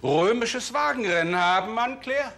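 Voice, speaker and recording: Pompous older male schoolmaster, playful TV drama, high-pitched declamation. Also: lively intonation, moderate pace, creaky, fairly bright tone, heavily acted. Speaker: teacher. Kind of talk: TV drama acting.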